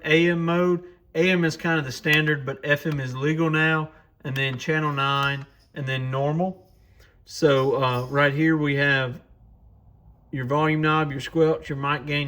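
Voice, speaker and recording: A man with a medium-pitched voice talks through a product review. His delivery is informal and off the cuff. He thinks aloud as he goes, like a host showing something on his bench. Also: fairly bright tone. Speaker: host of a radio shop's review channel. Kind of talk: casual hands-on product review.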